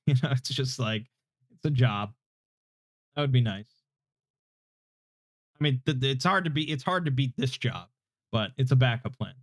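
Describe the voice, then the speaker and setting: A man's voice: mid-range voice, lively intonation, normal speed, pauses often, dry recording, studio quality. A man of thirty answering viewer questions in a casual, hesitant, self-deprecating chat, with a relaxing voice on a slightly muffled desk mic. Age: 30s. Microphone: desk microphone without his usual equalizer, slightly muffled.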